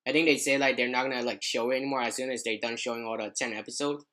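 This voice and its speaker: Male podcast host, bright tone, loose rambling casual talk full of filler words. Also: medium pitch, steady tone, rapid-fire. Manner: rambling, leans heavily on "like". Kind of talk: casual chat.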